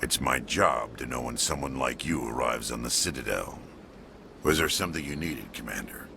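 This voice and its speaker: Gravelly, very deep alien police chief. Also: male voice, normal speed, background noise. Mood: guarded, formal. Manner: curt, authoritative, disapproving. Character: stern, proud alien police chief, distrustful of humans. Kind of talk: video game dialogue acting.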